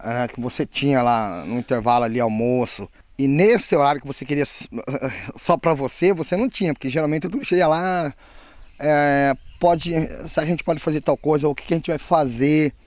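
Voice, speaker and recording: A man's voice: fairly high pitch, moderate pace, dry recording. A man, a nature guide, talking in an easygoing, chuckling way in an interview. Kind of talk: interview answer.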